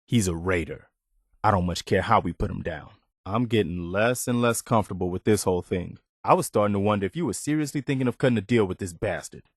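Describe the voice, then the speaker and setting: An actor playing a principled, loyal and weary young militia soldier in a video game, speaking sincerely and plainly. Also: male voice, on the low side, fast, no echo, clean studio sound. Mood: wary, disapproving. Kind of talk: video game companion dialogue.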